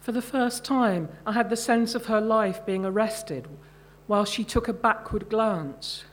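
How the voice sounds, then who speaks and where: A middle-aged woman giving a live literary reading. Her delivery is very unhurried and measured, each phrase carefully placed, at an average pitch for a woman. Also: a little hiss or hum. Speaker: author reading from own short stories.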